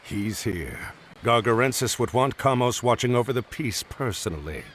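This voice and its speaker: A man's voice: mid-range voice, expressive, normal speed, fairly bright tone, slight background noise, lightly acted. A man playing a wry battle-hardened warrior. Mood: watchful.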